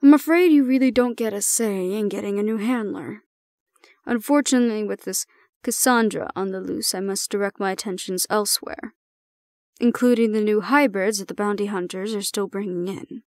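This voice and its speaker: Woman acting a measured, formal female supervisor. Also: mid-range voice, darkish tone, no echo, clean studio sound, lightly acted. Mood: composed, reassuring. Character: calm, formal female supervisor handing over a hybrid prisoner. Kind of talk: audio roleplay acting.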